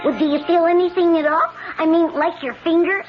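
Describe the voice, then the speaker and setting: A pushy young boy in a radio drama. The voice is high and childlike, nagging with curiosity. The recording is muffled, with heavy noise. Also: steady tone, brisk. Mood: curious.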